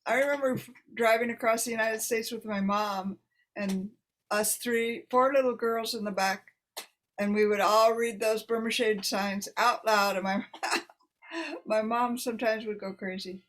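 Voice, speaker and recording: A woman informally hosting a poetry reading and introducing poets in a halting manner. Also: fairly high pitch, steady tone. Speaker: host of a poetry reading event.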